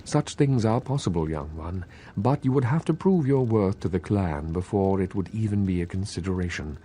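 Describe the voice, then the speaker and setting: Deep, formal vampire elder. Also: male voice, expressive, warm tone, slight background noise. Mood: patient, instructive. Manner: formal, measured, archaic courtesy. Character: courteous, scholarly vampire regent, patient, secretive mentor. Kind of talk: video game role-playing dialogue.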